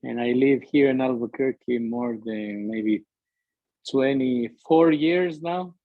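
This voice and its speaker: Slow hesitant man with Ecuadorian accent. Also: mid-range voice, no room sound, phone-quality sound. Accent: Ecuadorian Spanish. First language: Spanish. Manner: hesitant, searching for words, many fillers. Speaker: interview guest, musician. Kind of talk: conversational interview, halting English.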